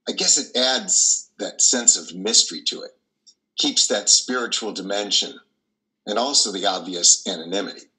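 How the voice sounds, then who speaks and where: A man's voice: on the low side, moderate pace, bright, forceful tone, rough recording. An older man in his sixties playing a weary, haunted priest in a reflective, wry dramatic monologue. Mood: wry reflection. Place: dim wood-trimmed room at home, video call.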